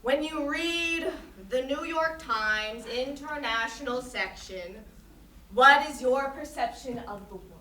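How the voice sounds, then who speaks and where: A woman's voice: high-pitched, slow, pushed and bright, exaggerated voice. A woman acting an intense, exasperated Chinese intellectual in a staged play reading, lecturing emphatically and with condescension. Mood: condescending, exasperated. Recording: roomy distant stage recording, faint noise.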